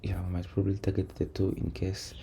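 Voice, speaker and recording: Low, creaky-voiced man thinking aloud. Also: monotone, a little fast, dark tone. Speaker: trader recording a backtesting session. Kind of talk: casual screen-share commentary, thinking aloud. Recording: noisy room, keyboard and typing sounds.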